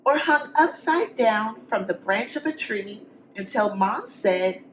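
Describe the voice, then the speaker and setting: A woman's voice: fairly high pitch, expressive, slow pace, a little hiss or hum, poor audio. A woman teacher reading a storybook aloud to young students, expressively, with simple encouraging questions and asides for the children.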